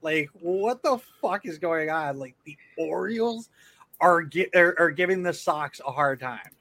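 A man's voice: a little high, expressive, unhurried, fairly bright tone. Male podcast host, slightly raised voice, loose and profane delivery with stumbles. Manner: loose and profane, with frequent restarts. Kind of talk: casual sports chat.

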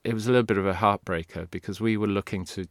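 Low-voiced man, rambling. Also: steady tone, quick pace, no room sound. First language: Welsh. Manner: rambling, restarts, candid. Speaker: guest. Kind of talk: casual interview talk.

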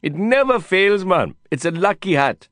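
A man voicing an exuberant, foul-mouthed, friendly Indian man with an Indian accent and excitable, slangy, cajoling speech in an audiobook. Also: high-pitched, normal speed, no room sound, studio quality, pitched above the performer's own voice.

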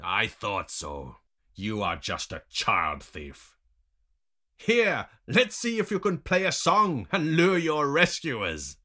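A man's voice: low-pitched, takes it slowly, bright, forceful tone, heavily acted. A man voicing a cruel male captor in a story, commanding, with a taunting, snarling delivery.

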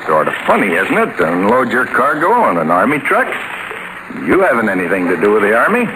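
An actor playing a shifty, falsely friendly trader in a 1940s radio serial, with a wheedling, prying manner that turns defensive. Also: male voice, on the low side, wildly swooping pitch, fairly quick. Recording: noisy old 1940s radio recording.

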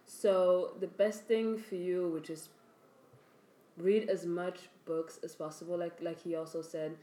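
A woman's voice: fairly low pitch, fairly even intonation, mellow. A young woman chatting emphatically as a podcast co-host, talking freely about her views. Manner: chatty, emphatic, quick to laugh. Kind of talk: casual chat. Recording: echoey room with faint noise.

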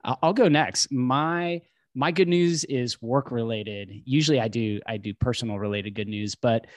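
A man hosts a casual podcast at a middle pitch. He talks in a friendly way and often trips over and restarts his phrases, recorded in a dry, close room. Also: normal speed, dry recording. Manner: friendly, filler-heavy, frequent restarts. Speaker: podcast host.

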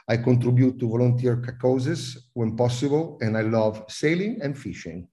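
A man's voice: medium pitch, moderate pace, warm tone. A middle-aged man with an Italian accent, a guest in a podcast interview who often hesitates and repeats words. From Italy. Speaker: guest, cruise industry advisor.

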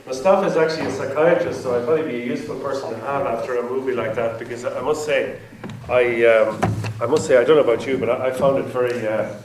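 A man's voice: medium pitch, noisy background. A man hosting a panel after a film screening, talking in a hesitant, rambling way and repeating himself. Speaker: event host. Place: screening hall with audience. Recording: roomy hall from far off, faint ambience.

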